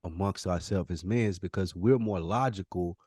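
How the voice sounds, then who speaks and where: A man's voice: deep voice, normal speed, dark tone, no room sound, studio quality. An American man co-hosting a podcast, telling things in a casual, direct and emphatic way. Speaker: podcast co-host. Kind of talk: casual chat and storytelling.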